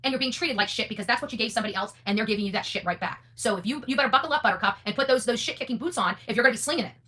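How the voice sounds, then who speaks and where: Female online host, rapid-fire and forceful, blunt, defiant monologue with repeated phrases for emphasis. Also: fairly high pitch. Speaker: online content creator and host. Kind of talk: unscripted opinionated monologue to an audience.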